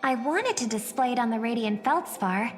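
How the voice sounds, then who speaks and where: Actress doing gentle polite young woman from a game, high voice, big reverb, faint music. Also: lively intonation, normal speed, natural voice. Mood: reflective. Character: gentle, gracious young female star singer. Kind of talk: scripted game character dialogue.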